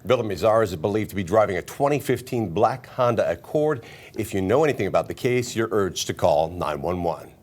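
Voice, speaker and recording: Lowish-voiced American male news anchor. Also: animated, a little fast, darkish tone. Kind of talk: scripted news reading.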